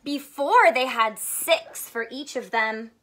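High, bright-voiced young woman teaching kids. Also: slow pace. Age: young adult. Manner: animated, simple questioning addressed to young children. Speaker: teacher reading aloud to children. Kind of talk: children's read-aloud with a math lesson. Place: small furnished room indoors.

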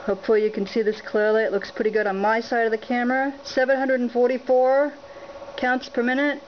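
A woman at a home filming a laptop screen, talking without a script and reading out measurement numbers with many pauses and fillers. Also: fairly high pitch, level tone, lo-fi sound, slight background noise. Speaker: home radiation monitoring vlogger. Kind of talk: unscripted on-camera walkthrough. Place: indoors at a home, beside a laptop.

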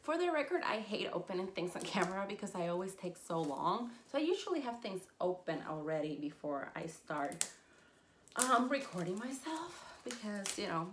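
A woman's voice: mid-range voice, normal speed, slight background noise. A young woman in her twenties, raised in Puerto Rico with Spanish as her first language, chatting casually and animatedly in a collector's haul video from a room full of shelves. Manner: chatty and animated, squealing with excitement, frequent laughs. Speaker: collector vlogger. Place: collectibles-filled room indoors. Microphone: camera at desk distance.

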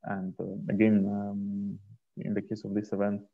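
A man presenting slides informally on a video call, speaking in a hesitant, halting way into a headset. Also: fairly low pitch, completely flat delivery, creaky. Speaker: host and presenter of an online community onboarding session. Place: small indoor room, video call. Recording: dull, muffled headset call audio.

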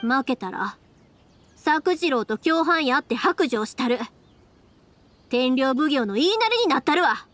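A woman voicing a stubborn, warm-hearted young firework maker with a Kansai accent, insistent and plain-spoken, in anime game style. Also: sits high, animated, unhurried, pauses often, no room sound. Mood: defiant, determined.

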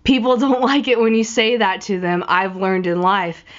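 Girl getting over a cold, bit raspy, chatty and rambly, kinda muffled audio. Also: medium pitch, moderate pace. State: recovering from a cold. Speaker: art vlogger. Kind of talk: casual chat while drawing. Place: small room in front of a patterned backdrop. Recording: slightly dull lo-fi audio.

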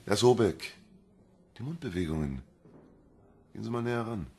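An actor playing a gruff, dogged police inspector in a radio crime drama, blunt and sardonic. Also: male voice, on the low side, lively intonation, normal speed, darkish tone. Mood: urgent, dogged. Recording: noticeable background ambience.

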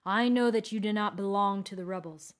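A woman's voice: mid-range voice, fairly even intonation, moderate pace, natural voice. A stern old wizard of the road, acted by a woman reading a fantasy tale. He speaks solemnly and with iron will, giving commands that expect obedience. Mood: stern, threatening. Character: stern old male wandering mage, righteous, iron-willed.

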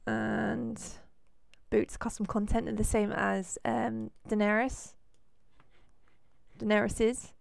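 Hoarse woman with a cold, gravelly. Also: on the high side, little pitch movement, slow, pauses often, no echo, clean studio sound. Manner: chatty, rambling with fillers. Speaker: let's play host. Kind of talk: casual game commentary.

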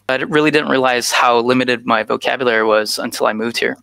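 A man's voice: medium pitch, fairly even intonation, quick pace, vocal fry, pushed and bright, smooth, no echo. A man in his thirties from the United States gives a conference talk over a video call on a headset, with frequent "uh" fillers. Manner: frequent "uh" fillers, earnest and informal. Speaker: guest speaker.

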